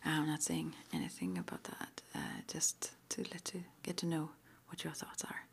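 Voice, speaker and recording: A woman whispering breathily in an ASMR roleplay, gently and hesitantly asking questions like an interviewer. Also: sits low, big pitch swings, moderate pace. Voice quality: breathy whisper, mostly unvoiced.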